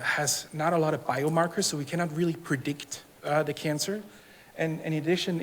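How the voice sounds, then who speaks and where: A man from Austria with an Austrian accent, a cancer researcher giving a public talk in a friendly, halting way with many fillers. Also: medium pitch. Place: city hall event room. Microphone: microphone on stand. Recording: reverberant hall, faint noise.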